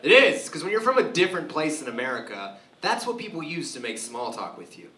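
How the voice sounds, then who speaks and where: A stand-up comedian from Romania performing a live club set, delivering dry set-ups and jumping into loud, shrill voices for his punchlines. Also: very animated, fairly quick, distant mic. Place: live club show with audience laughter. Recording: roomy club sound from afar, audible noise.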